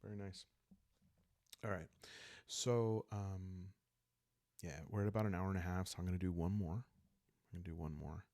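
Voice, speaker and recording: Calm guy improvising a solo rpg story, gravelly voice, really dry close sound, chill and casual. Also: deep voice, no room sound. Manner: calm, unhurried, musing, meant for relaxed listening. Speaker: host and solo player of a journaling roleplaying game. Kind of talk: casual, improvised solo roleplay narration, thinking aloud.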